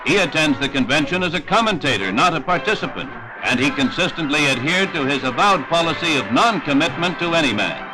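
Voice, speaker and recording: Higher-pitched American newsreel announcer over music. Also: male voice, poor audio. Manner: formal announcer delivery. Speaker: newsreel narrator. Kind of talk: 1960s newsreel scripted narration.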